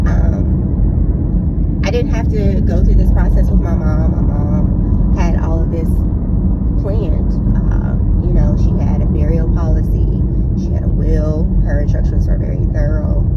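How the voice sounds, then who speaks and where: Young woman, congested, low voice, chatty vlog, dull phone audio, loud car noise. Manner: chatty and candid, with frequent ums. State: congested from a cold. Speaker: vlogger. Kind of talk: casual vlog chat.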